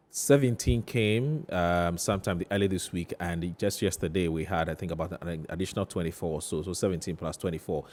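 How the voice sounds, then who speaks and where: A man with a Ghanaian accent hosting a studio interview, phrasing things haltingly and often repeating himself. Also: sits low, a little mellow. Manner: hesitant phrasing, repeats questions back. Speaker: TV talk show host.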